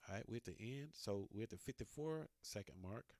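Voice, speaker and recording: Low-voiced man giving a casual tutorial. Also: animated, brisk, a little mellow. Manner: informal, thinking aloud while working. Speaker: DJ and radio production tutor.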